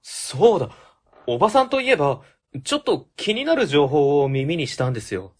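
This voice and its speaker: A man's voice: fairly high pitch, sing-song, moderate pace, husky. A man playing an easygoing young junior civil servant, casual but polite, in a radio drama.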